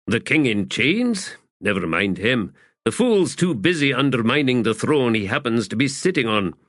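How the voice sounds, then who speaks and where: A man's voice: medium pitch, big pitch swings, moderate pace, fairly bright tone, no echo, clean studio sound, pitched above the performer's own voice. A man voicing a solemn soldier in a fantasy audiobook, grave and declamatory, as if foretelling.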